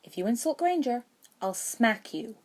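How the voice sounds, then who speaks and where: A woman voicing a brave, stubborn schoolboy wizard in a fantasy audiobook, with a forceful, urgent delivery. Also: fairly high pitch, sing-song, moderate pace, voice pushed up. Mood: curt, irritated.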